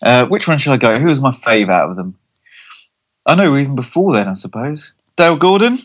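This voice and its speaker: A British man in relaxed podcast conversation, his pitch moving around in an animated way. He sounds bemused and jokey, often hesitating as he works through a quiz with his co-host. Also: fairly quick, no echo. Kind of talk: casual chat, quiz banter.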